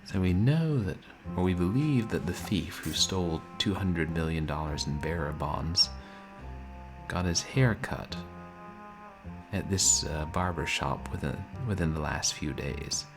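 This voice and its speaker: A man streaming a video game, chatting casually and soft-spoken with viewers, often chuckling. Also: low voice, a little slow. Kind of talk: casual let's-play chat. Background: game music.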